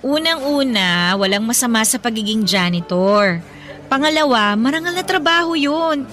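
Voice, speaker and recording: A kind young Filipino woman, speaks in a high, bright voice. She is chirpy and supportive, in radio drama dialogue with faint music behind. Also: lively intonation, normal speed. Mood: firm, encouraging.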